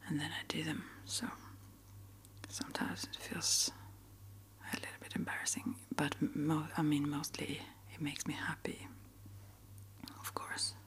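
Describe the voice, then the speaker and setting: A woman speaking in a gentle, hesitant, rambling way, as an ASMR creator chatting to her viewers. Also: low-pitched, level tone, measured pace. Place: small upstairs apartment.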